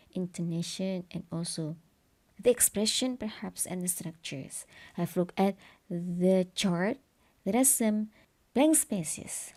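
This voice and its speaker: A woman teacher explaining English grammar slowly and deliberately, spelling out each term. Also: medium pitch, creaky, warm tone. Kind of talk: slow explanatory grammar lesson.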